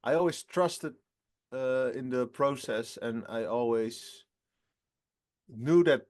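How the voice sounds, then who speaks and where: A singer in his twenties answering interview questions in a relaxed, halting way, often laughing between phrases. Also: medium pitch. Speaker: rock band singer, guest.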